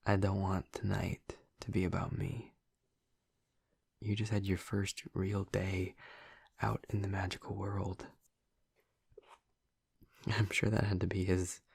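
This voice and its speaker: A man playing a gentle, flirty, earnest vampire in an intimate audio roleplay, murmuring softly and hesitantly in a breathy, gravelly voice. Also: low-pitched, no room sound, studio quality, full character voice. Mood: tender, apologetic. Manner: soft, hesitant, halting, reassuring.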